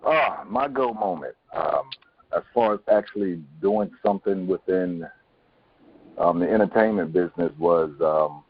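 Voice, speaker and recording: A middle-aged man answering personally and sincerely as an interview guest, in a halting manner. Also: low voice, husky, dry recording. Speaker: stage actor and podcast guest. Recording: muffled phone-like call.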